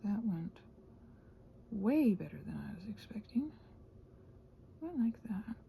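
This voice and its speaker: A woman chatting casually while crafting, soft and often dropping to a near-whisper, hesitant and thinking aloud, with playful little exclamations. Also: mid-range voice, big pitch swings, slow pace, creaky, muffled recording, slight background noise. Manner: hesitant, thinking aloud, trailing off mid-sentence. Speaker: host of a crafting ramble channel. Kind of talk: casual rambling craft chat, ASMR-like.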